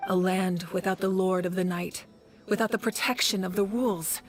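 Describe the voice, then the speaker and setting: A woman's voice: medium pitch, fairly even intonation, moderate pace, faint music behind, natural voice. A woman playing a resolute, dutiful leader of a fantasy nation in a video game, firm and earnest. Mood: firm, defiant. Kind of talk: scripted video game voice acting.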